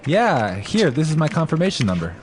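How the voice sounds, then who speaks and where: A man reading a hotel guest's lines in a scripted English lesson dialogue, speaking clearly and carefully. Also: on the high side, sing-song, fairly quick, a little hiss or hum. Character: male hotel guest checking in. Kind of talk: scripted role-play dialogue.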